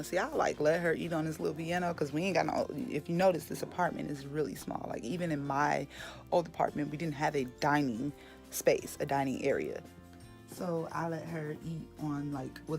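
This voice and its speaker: A woman in her late twenties with a raspy voice, chatting candidly to her viewers in a casual morning vlog. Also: deep voice, a little mellow. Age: late 20s. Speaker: vlogger. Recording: music playing behind.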